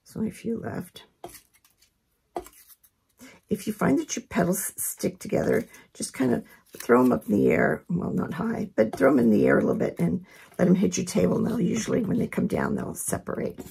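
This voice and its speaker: Hoarse woman with a gravelly, husky voice. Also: mid-range voice, moderate pace. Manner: relaxed, chatty, reassuring. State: hoarse from a head cold. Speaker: craft instructor. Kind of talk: casual step-by-step tutorial.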